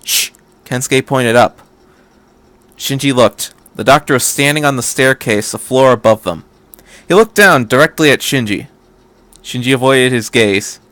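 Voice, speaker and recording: A man's voice: medium pitch, normal speed, a bit bright, no echo. A man reading a story aloud as an amateur narrator, in scripted prose. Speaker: amateur fan fiction reader.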